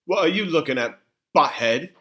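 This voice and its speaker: Mocking teenage male bully played by a woman, swaggering, exaggerated, very animated comic impression. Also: deep voice, moderate pace. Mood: sneering. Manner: taunting, exaggerated. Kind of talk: comedic impression at a table read.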